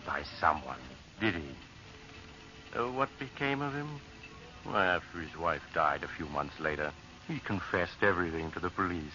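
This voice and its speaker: Sinister horror host, very low voice, sly, confiding, mock-pitying, 1940s radio, muffled recording. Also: male voice, bright, forceful tone, full character voice.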